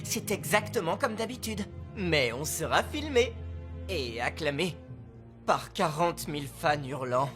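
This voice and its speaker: A determined young cartoon heroine, with a slightly low voice. She leads her team with pluck, and music plays behind her. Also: expressive, unhurried. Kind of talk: cartoon dub acting.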